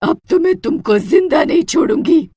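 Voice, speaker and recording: A woman's voice: very high-pitched, expressive, normal speed, warm tone, no room sound, studio quality, pitched above the performer's own voice. A woman voicing an angry, protective witch in a cartoon horror story, with a threatening, dramatic and commanding manner. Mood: furious, threatening. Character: angry, protective witch, a dead air hostess.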